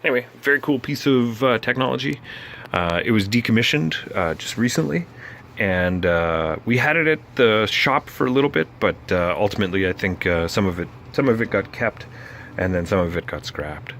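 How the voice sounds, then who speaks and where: A man giving a casual, unscripted technical walkthrough, halting and thinking aloud as he explains. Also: lowish voice, animated, fairly bright tone, a little hiss or hum. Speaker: presenter explaining vintage broadcast gear.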